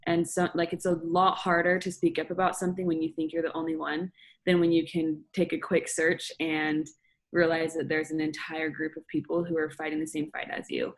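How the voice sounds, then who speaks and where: A woman's voice: deep voice, flat delivery, brisk. A young woman from Utah speaking thoughtfully on a discussion panel. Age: young adult.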